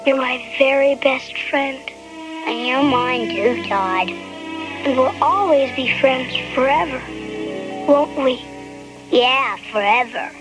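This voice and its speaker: A performer voicing an innocent, eager young animal in an animated film, childlike and guileless, with an American accent. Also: high-pitched, slow, rough recording. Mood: affectionate. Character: eager, innocent young fox and hound puppy. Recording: music behind the voice.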